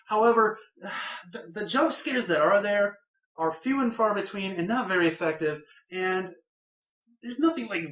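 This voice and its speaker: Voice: mid-range voice, fairly bright tone, echoey room, rough recording. A horror film reviewer talking casually, rambling, with many fillers and repeated false starts.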